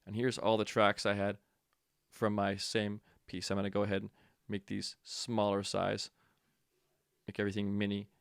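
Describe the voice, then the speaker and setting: A man with a low voice and plenty of fry, talking through a software walkthrough like an instructor. He is informal and offhand, thinking aloud as he goes. The sound is close and dry. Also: monotone, moderate pace, dry recording. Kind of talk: casual software tutorial walkthrough.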